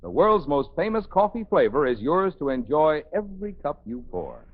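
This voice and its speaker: A man's voice: fairly high pitch, big pitch swings, normal speed. An American man reading a scripted 1950s radio commercial as an announcer. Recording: narrow, tinny 1950s radio recording.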